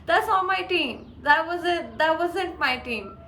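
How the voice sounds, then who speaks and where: Woman co-host, high voice, chatty, code-switches, roomy echo. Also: animated, slow pace, pushed and bright, a little hiss or hum. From Pakistan. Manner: chatty, mixes English with Urdu phrases. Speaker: podcast co-host. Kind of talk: casual chat. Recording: echoey room.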